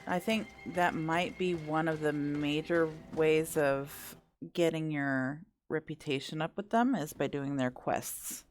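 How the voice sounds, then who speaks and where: A woman's voice: sits low, a little slow, a little hiss or hum. A woman giving casual playthrough commentary, thinking aloud in a wry, self-joking way. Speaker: gaming content creator. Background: faint game music.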